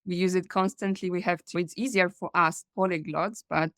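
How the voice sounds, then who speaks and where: Polish-native woman host, lower voice, dry sound, upbeat. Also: steady tone, fairly quick, a bit bright, no room sound. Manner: upbeat, laughs often. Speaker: podcast host. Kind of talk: casual interview conversation.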